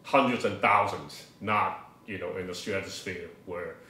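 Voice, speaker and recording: A man's voice: deep voice, measured pace, pushed and bright, distant mic. An older man co-hosting a casual, conversational discussion about a hobby. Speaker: co-host of an audio hobby show. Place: living room table indoors. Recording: echoey room sound.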